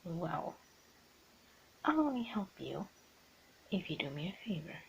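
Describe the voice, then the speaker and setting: A woman's voice: fairly low pitch, big pitch swings, a little slow, vocal fry, slight background noise, exaggerated voice, low-quality recording. A woman playing a teasing, bossy young art student in an improvised ASMR roleplay, often slipping into a half-whisper. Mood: sly teasing.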